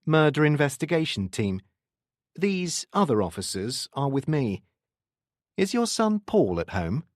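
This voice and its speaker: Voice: mid-range voice, sing-song, dry recording, pitched above the performer's own voice. Casting: male detective sergeant for audiobook crime fiction, firm, authoritative, dry close sound read by a narrator. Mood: official, firm. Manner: brisk, authoritative, commanding.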